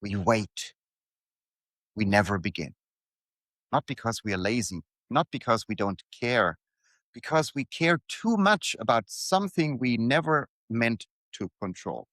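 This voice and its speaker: Man with expressive, swooping intonation, dry close sound. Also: mid-range voice, no room sound. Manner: deliberate, short phrases with emphatic repetition. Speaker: writer reading own newsletter aloud. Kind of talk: reflective motivational monologue.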